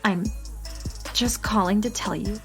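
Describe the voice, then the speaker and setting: An actress playing a proud, prickly young woman in a children's radio drama, speaking slowly with sarcasm. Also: mid-range voice, fairly bright tone. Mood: sulky. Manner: slow, sulky and sarcastic. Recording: music playing behind.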